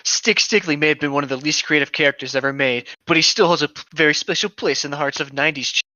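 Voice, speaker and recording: Bright, clear young man, slightly lo-fi. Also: a little high, fast talker, no room sound. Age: 20s. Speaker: co-host reading internet horror stories. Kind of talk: casual banter and reading aloud.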